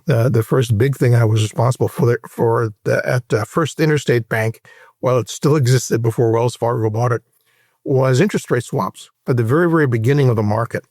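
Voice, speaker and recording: A man in his sixties from Hawaii with an American accent, recounting his career in a measured, explanatory way as an interview guest. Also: medium pitch, a little mellow, dry recording, clean studio sound. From Hawaii, United States. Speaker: interview guest, private equity veteran. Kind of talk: conversational career recollection in an interview.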